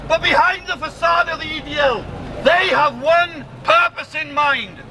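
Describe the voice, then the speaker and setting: High-pitched older Welsh man addressing a rally. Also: a little slow, sharp tone. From Swansea, Wales. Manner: impassioned, rhetorical, emphatic. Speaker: protest rally speaker. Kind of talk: political rally speech. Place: outdoors in a city square. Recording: distant outdoor mic, noisy street crowd.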